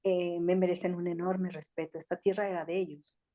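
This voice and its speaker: An older woman with a Mexican accent talks at a measured pace, explaining and reflecting like a teacher. She often pauses on fillers. The audio sounds slightly dull and lo-fi. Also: lowish voice, level tone, mellow. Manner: thoughtful, lecturing, many fillers like "o sea" and "este". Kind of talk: reflective talk-show discussion.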